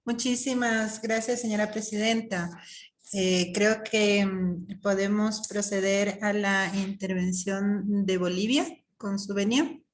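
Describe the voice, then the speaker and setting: A woman's voice: medium pitch, fairly even intonation, slow pace. A woman moderating a formal session, courteous and a little hesitant, speaking from some distance from the mic.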